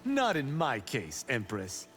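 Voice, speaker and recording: An actor playing a brash, confident young Shaolin warrior in a video game, earnest in manner. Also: male voice, mid-range voice, huge pitch swings, slow pace, a bit bright, faint music behind, exaggerated voice. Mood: cocky, earnest. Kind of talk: video game character dialogue.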